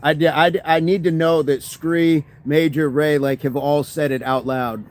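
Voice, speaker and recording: A man chats casually on a fitness livestream, answering viewer comments and thanking people by name. His speaking voice sits a bit high for a man. He laughs easily and keeps the talk loose and friendly. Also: steady tone, normal speed, a little mellow.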